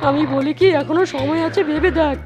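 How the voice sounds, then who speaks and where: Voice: high voice, fairly even intonation, fairly quick, lightly acted. A performer voicing a timid, scheming young fox sidekick in a Bangla comedy cartoon, wheedling and exclamatory. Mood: nervous pleading.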